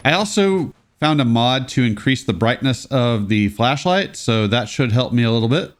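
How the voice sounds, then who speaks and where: A man's voice: medium pitch, animated, normal speed. A congested young man giving casual, sarcastic gameplay commentary as a let's play host.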